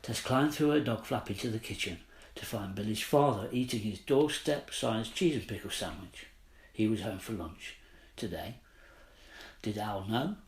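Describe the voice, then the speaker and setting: A man's voice: fairly low pitch, lively intonation, moderate pace. Man narrating a story in a roomy space. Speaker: author narrating own audiobook. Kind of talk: scripted children's story narration. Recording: roomy, faint background noise.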